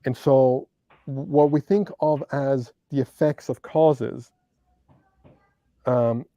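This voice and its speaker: A man speaks English as a second language in a gravelly, mellow voice. He talks slowly and thoughtfully, pausing with frequent ums as he searches for the right word. The sound is dry and close. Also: medium pitch, steady tone, dry recording, lo-fi sound. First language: not English. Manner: hesitant, searching for words, many ums. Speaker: debate guest. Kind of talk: casual philosophical discussion.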